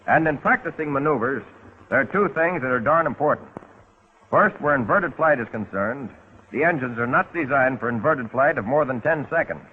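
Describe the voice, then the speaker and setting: A man's voice: medium pitch, expressive, moderate pace. An American man narrating a 1940s instructional film in a scripted, instructional way with folksy, wry touches. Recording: muffled, phone-like 1940s film soundtrack.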